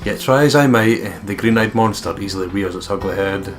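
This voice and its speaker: Male let's play host, deep voice, reading story narration over guitar game music. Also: fairly quick. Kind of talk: reading visual novel narration aloud.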